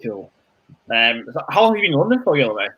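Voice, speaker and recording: A man's voice: medium pitch, big pitch swings, quick pace, breathy edge, highs cut off. A man hosting a live stream, chatting casually and circling around his questions with many fillers. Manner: rambling, full of fillers. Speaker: host.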